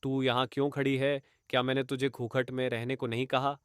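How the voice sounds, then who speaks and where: A man's voice: medium pitch, little pitch movement, a little fast, fairly bright tone, clear, resonant tone, no echo, clean studio sound, close to an everyday voice. A man voicing a cruel, domineering husband in a cartoon story, harsh and commanding. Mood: harsh angry.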